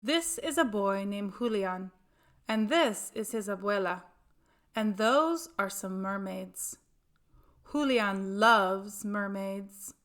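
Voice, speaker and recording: Slow-paced woman reading to children. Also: mid-range voice, fairly bright tone. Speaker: storyteller reading a picture book aloud. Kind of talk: children's read-aloud narration.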